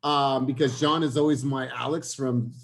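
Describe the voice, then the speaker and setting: A man's voice: medium pitch, steady tone, normal speed, vocal fry. A man with a mid-range voice leads a work training session. He coaches in casual, buddy-like language, speaking plainly and informally as he directs the group. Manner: informal, says "man" and "bro". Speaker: team leader running a sales training meeting.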